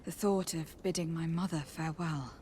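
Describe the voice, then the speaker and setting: A woman's voice: low-pitched, fairly even intonation, moderate pace, warm tone, a little hiss or hum, lightly acted. An actress playing a poised, confident young noblewoman in a video game, formal and polite. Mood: subdued. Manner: formal, composed.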